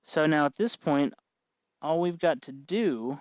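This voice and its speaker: A man hosting an informal hands-on electronics tutorial, explaining step by step in a halting, folksy way. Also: fairly high pitch, lively intonation, dry recording, dull audio. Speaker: tutorial host.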